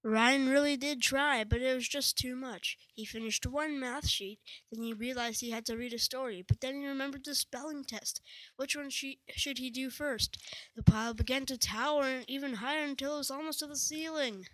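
Female narrator, fairly high voice, scripted school readers' theatre storytelling, dry close sound. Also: fairly even intonation, normal speed, a bit bright, no room sound, poor audio.

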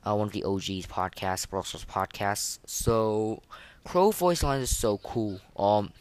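A man hosting a podcast in casual, slangy, excitable chat, reviewing game voice lines. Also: on the low side, a little slow. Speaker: podcast host.

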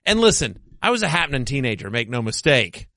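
A man in his forties hosting a casual sports podcast, joking and animated. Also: medium pitch, quick pace, no room sound, studio quality. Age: 40s. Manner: animated, self-deprecating joker. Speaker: podcast host.

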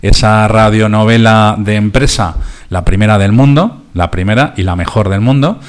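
Low-pitched Spanish male radio host. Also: normal speed, smooth. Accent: Spanish (Spain). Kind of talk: conversational radio hosting and discussion.

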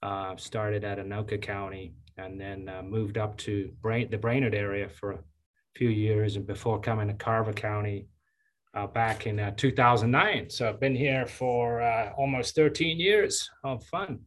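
A man in his fifties from Zambia, a county engineer giving relaxed, chatty interview answers with many fillers and restarts. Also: fairly low pitch, moderate pace. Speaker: county engineer and public works director.

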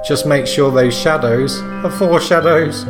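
A man playing a sly, upbeat pitchman in a parody radio commercial for a board game. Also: measured pace, natural voice. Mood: playful salesmanship. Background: plucked guitar music.

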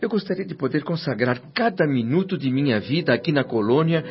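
An actor playing a humble, earnest deceased doctor in a radio drama, speaking formally and deferentially. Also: male voice, a little high, expressive, brisk. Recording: dull lo-fi radio drama over music.

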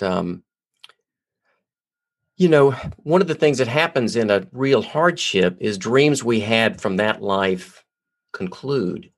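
A man hosting a podcast, reading an upbeat, promotional intro and chatting with his guest. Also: lowish voice. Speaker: podcast host.

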